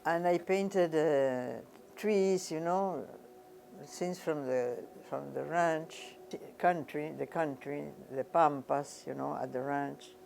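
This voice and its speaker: An older woman from Argentina, a painter giving reflective interview answers, unhurried and often searching for words and repeating phrases. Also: deep voice, vocal fry, a little mellow, a little hiss or hum.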